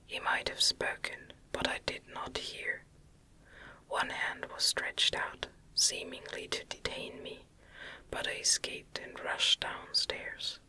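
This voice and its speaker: An ASMR narrator reading gothic fiction aloud in a soft, breathy, unvoiced whisper, deliberate and close to the microphone. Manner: slow, deliberate, soft delivery.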